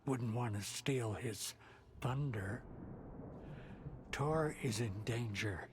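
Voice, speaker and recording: Slow, portentous old rocker grandfather played by a man. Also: mid-range voice, background noise, close to an everyday voice. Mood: warm, cryptic. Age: older. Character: old male rocker seer, grandfatherly, cryptic, salty-tongued. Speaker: actor in a video game. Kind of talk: dramatic game cutscene acting.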